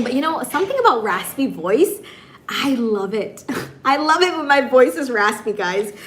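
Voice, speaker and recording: A woman from Michigan, hosting a casual livestream and chatting with viewers in a bubbly way, her voice hoarse and raspy, laughing often. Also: high voice, fairly bright tone, a little hiss or hum. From Michigan, USA. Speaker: livestream host.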